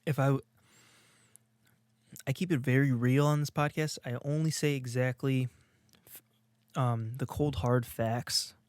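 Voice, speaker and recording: A young man talks off the cuff on a podcast, mocking himself and restarting his sentences as he goes. His voice sits in an ordinary middle pitch. The recording is dry and close, with no room sound. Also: steady tone, measured pace, pauses often, smooth, dry recording. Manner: rambling, self-mocking, many restarts. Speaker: podcast co-host. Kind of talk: casual comedic monologue.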